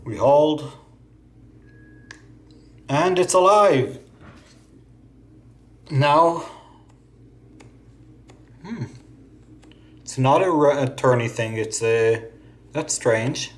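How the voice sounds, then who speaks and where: A man hosts a casual how-to video, talking through each step as he tinkers and thinks aloud. His voice sits at an ordinary middle pitch. The room around him rings with a noticeable echo. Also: drawn-out words, pauses often. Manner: chatty, thinks aloud while fiddling with gear. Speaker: vlogger. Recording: echoey room.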